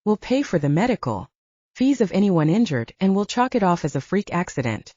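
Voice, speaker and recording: A woman's voice: mid-range voice, big pitch swings, brisk, dark tone, no room sound, muffled recording. A woman voicing a young blonde werewolf woman, protective and reassuring, with a soothing but firm manner, in an audiobook. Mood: calm, explaining.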